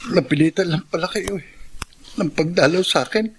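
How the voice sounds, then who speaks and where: An actor playing a sweet, sickly younger brother, a young man with a husky voice, in a Filipino radio drama. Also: high voice, a little fast, slight background noise. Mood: hurt.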